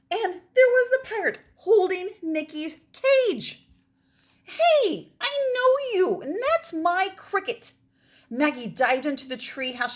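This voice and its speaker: A woman's voice: high-pitched, a bit bright. A woman teacher reading a children's storybook aloud in a dramatic manner, often dropping toward a whisper.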